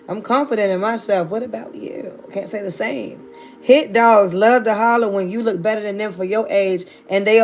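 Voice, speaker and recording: A woman's voice: mid-range voice, darkish tone. A woman in her thirties from Virginia, chatting sassily and playfully with viewers on a live stream. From Virginia, United States. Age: 30s. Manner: sassy, playful, talks straight to her chat. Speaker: livestreamer.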